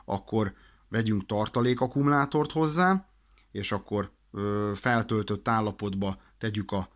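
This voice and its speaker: A man explaining products in an informal instructional way, practical and a little hesitant in his delivery. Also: medium pitch. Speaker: product demonstrator for a radio shop.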